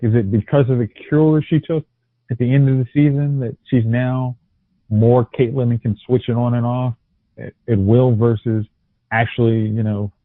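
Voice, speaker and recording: A man chatting casually as a podcast co-host, rambling and halting, often restarting his sentences. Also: mid-range voice, dry recording. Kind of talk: casual chat. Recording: dry, tinny phone-like audio.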